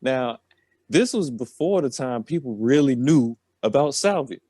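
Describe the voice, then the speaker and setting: A man's voice: medium pitch, animated, measured pace, dark tone, slightly rough, no room sound, studio quality. A man telling a story on a podcast in a casual, rambling, slangy way. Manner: colloquial, slangy.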